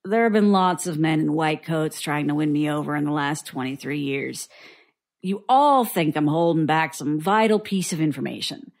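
An actress playing a weary, guarded survivor giving dry, reluctant testimony in an audio drama. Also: female voice, sits low, expressive, moderate pace, creaky. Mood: irritated, guarded. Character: guarded, weary woman survivor recounting childhood strangeness.

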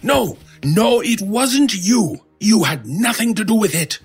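Husky old man, desperately earnest. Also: high voice, lively intonation, measured pace, faint music behind, heavily acted. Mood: insistent, protective. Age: older. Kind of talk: old-time radio style horror audio drama acting.

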